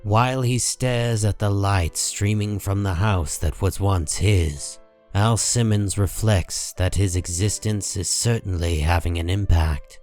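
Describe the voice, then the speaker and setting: A man narrating a dark comic book drama in a measured, solemn, deliberate way. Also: low voice.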